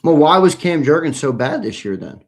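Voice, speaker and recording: A man's voice: fairly high pitch, animated, normal speed. A male sports talk co-host who drives the conversation with pointed questions. He pushes back on his partner's claims and moves the show along.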